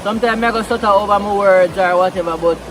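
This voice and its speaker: Relaxed Jamaican vlogger, a man with a high voice and a Patois accent, filmed outdoors by a river with noisy water behind. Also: quick pace. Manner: relaxed, chatty, mixing Patois into English. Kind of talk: casual vlog talk outdoors.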